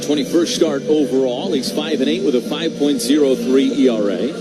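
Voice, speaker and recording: A man's voice: medium pitch, moderate pace, loud music behind the voice. A man calling baseball play-by-play live on the radio. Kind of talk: live radio sports commentary.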